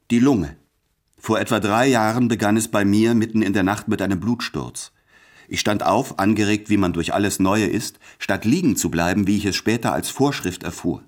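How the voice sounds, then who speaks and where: An actor playing an ailing, introspective man of letters in a German radio play, speaking in a measured, matter-of-fact and gently detached way. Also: lowish voice, close to an everyday voice.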